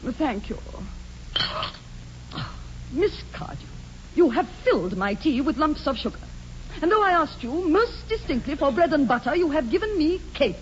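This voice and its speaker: A woman's voice: sits high, very animated, moderate pace, pauses often. An actress playing an icily polite, haughty young British upper-class lady in arch, formal 1940s radio comedy style. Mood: icy politeness. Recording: muffled, noisy 1940s radio broadcast.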